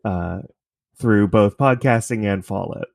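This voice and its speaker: A man from Canada with a fairly low voice chats as an interview guest. His delivery is casual and conversational, recorded dry with little room sound. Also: a little slow, creaky, darkish tone, dry recording. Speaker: podcast creator, interview guest.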